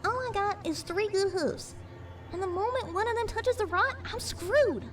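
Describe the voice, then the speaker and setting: A woman's voice: very high-pitched, moderate pace, recorded from a distance, a little hiss or hum. A woman playing a skeptical, plainspoken young pony with a folksy Southern US accent in an audio drama. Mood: defiant, doubtful. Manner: folksy, blunt, doubtful. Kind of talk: fan audio drama acting.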